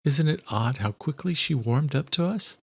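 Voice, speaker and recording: Guy doing a earnest lady doctor, polite, bit anxious, pitched up high, dry audiobook sound. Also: expressive, normal speed, creaky, husky, no room sound, exaggerated voice. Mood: polite, curious. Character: earnest, anxious woman doctor, warm and eager sister.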